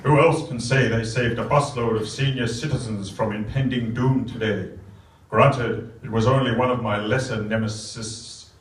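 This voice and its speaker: A man's voice: medium pitch, fairly even intonation, normal speed, lightly acted. A man playing a vain, self-important superhero who declaims grandly and earnestly in a live stage comedy. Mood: boastful. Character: vain, boastful, self-important male superhero with heroic airs. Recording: echoey theatre, distant microphone.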